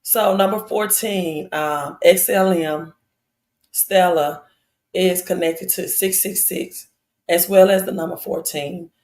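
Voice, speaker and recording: A woman hosting a casual live stream, her voice raspy and hoarse, addressing viewers directly and repeating phrases for emphasis. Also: lowish voice, steady tone, slow, darkish tone.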